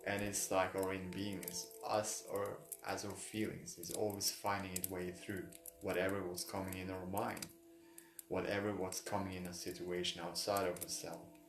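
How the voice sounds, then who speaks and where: A man leading a guided meditation in halting, repetitive phrases of non-native English. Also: low-pitched, little pitch movement. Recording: roomy echo, music behind. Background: music.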